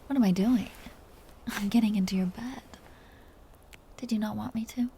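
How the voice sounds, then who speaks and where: A woman voicing a possessive, tender vampire countess in a soft, breathy whisper, in an intimate ASMR roleplay with slow, soothing, teasing endearments. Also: mid-range voice, slight background noise, lightly acted. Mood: vulnerable, wistful.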